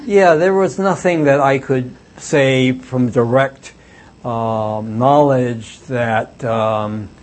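A man's voice: mid-range voice, darkish tone, a little hiss or hum. An older man, an author and former reporter, telling stories at a book talk in a reflective, hesitant way with many fillers. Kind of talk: book talk with audience questions.

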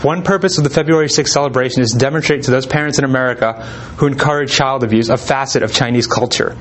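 A man giving an informal talk, reading letters aloud in a relaxed way with frequent pauses and ums. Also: medium pitch, a little hiss or hum. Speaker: presenter.

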